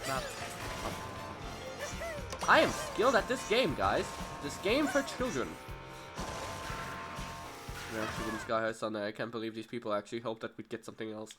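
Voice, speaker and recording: A young man from Germany with a stuffed nose, rambling excitably through casual gameplay commentary. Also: mid-range voice, normal speed, long pauses. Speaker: gaming video creator. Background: game music.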